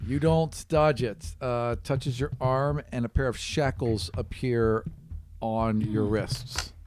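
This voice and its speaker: A man's voice: mid-range voice, fairly even intonation, slow. Man running a tabletop game, noisy recording. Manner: casual, conversational storytelling. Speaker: game master. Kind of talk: improvised narration of a tabletop role-playing game.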